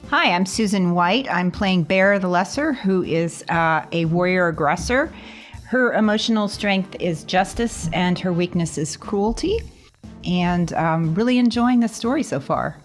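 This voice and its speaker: A woman's voice: fairly low pitch, animated, measured pace, soft background music. A woman who plays in a tabletop role-playing podcast, casually describing her game character. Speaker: podcast cast member, tabletop RPG player.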